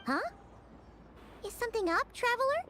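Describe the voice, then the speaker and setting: A tiny, bubbly fairy sidekick from an anime game. Her voice is squeaky and extremely high, with lively, bouncing intonation. She sounds childlike and curious over clear background music. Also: normal speed, full character voice. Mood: puzzled. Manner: bubbly, refers to herself in third person. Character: small excitable female fairy sidekick, childlike, cheerful, curious. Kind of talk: anime fantasy video game voice acting.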